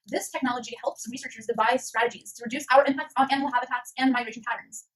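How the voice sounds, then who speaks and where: A woman's voice: a little high, flat delivery, racing, fairly bright tone, natural voice, rough recording. A woman voicing an earnest puppet science co-presenter, reading scripted educational narration in an explanatory way. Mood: earnest explaining.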